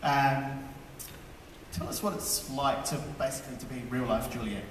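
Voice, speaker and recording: A man from Australia hosting a convention panel in a lively, jokey announcer manner in a large hall. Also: mid-range voice, moderate pace, slight background noise. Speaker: panel host. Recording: echoey hall, crowd, from far off.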